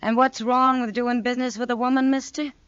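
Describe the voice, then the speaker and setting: A woman's voice: a little high, little pitch movement, a little fast, a bit bright, smooth, dry recording, close to an everyday voice. An actress playing an assertive, wary woman who owns a saloon in a 1950s radio western. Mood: indignant, suspicious. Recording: muffled old radio recording.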